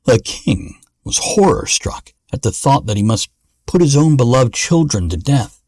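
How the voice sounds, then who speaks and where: A man narrating a fairy tale in a measured, dramatic storytelling manner. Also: mid-range voice, animated, a little mellow, no echo, studio quality. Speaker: podcast narrator.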